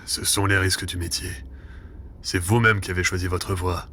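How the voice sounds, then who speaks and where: A man's voice: low voice, flat delivery, normal speed, pushed and bright, a little hiss or hum. A man playing a cold, scolding intelligence chief in a spy audio drama, speaking formally and curtly. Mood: stern, reproachful. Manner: formal, curt, reproachful.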